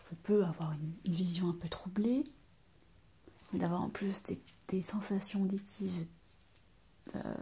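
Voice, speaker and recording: A woman playing a gentle, hesitant doctor in a whispered ASMR medical roleplay, reassuring and pausing often. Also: lowish voice, a little hiss or hum, lightly acted. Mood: puzzled, concerned. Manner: soft, hesitant, reassuring, with many pauses. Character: gentle, hesitant female hospital doctor examining a patient.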